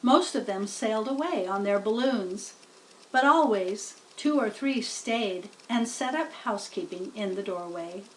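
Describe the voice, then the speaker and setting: High-voiced, unhurried woman reading a storybook. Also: distant mic, a little hiss or hum, low-quality recording. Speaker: storybook reader. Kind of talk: children's story narration.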